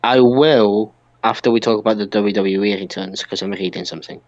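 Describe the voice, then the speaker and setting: A man's voice: on the low side, fairly even intonation, a little fast, a little mellow, no room sound. A man co-hosting a podcast in casual chat, on a lo-fi microphone. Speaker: podcast co-host. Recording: dull, dry lo-fi microphone sound.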